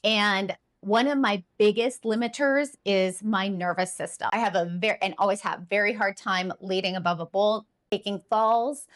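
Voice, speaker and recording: Woman in her 50s chatting to camera, earnest and encouraging, pokes fun at herself a bit, middle pitch. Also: fairly even intonation, normal speed, few pauses. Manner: earnest, encouraging, self-deprecating. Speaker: climbing vlogger. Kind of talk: casual talk to camera.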